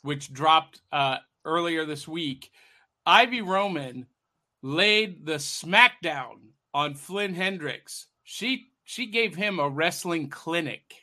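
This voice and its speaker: A man's voice: a little high, expressive, slow pace, bright, forceful tone. A man hosting a casual podcast over a video call, upbeat and giving his guests big buildups. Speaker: podcast host.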